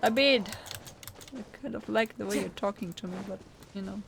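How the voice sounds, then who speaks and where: A woman's voice: medium pitch, moderate pace, gravelly, fairly bright tone, a little hiss or hum. A woman giving casual, playful gameplay commentary, giggly and reacting aloud as she plays. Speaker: gaming streamer.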